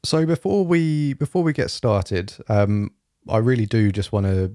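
A young man hosting his solo podcast in a rambling, fairly monotone way, with lots of fillers and a slightly rough throat. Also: lowish voice, measured pace, dry recording, clean studio sound. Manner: rambling, many fillers and restarts. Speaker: podcast host. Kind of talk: casual solo podcast chat. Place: home, evening, quiet room.

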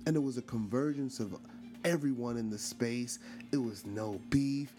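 A man's voice: mid-range voice, normal speed, dark tone. Man, forties, from brooklyn, podcast host, casual rambling monologue, lots of "um" and "you know", mid-range voice, faint background music. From Brooklyn, New York. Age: 40s. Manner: chatty, frequent fillers and restarts, asks listeners questions.

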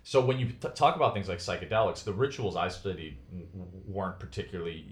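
Deep-voiced man from Chicago, roomy sound. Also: fast talker. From United States (Chicago). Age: 40s. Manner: earnest, thoughtful, restarts phrases while searching for words. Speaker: podcast guest. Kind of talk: reflective conversational interview. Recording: roomy echo.

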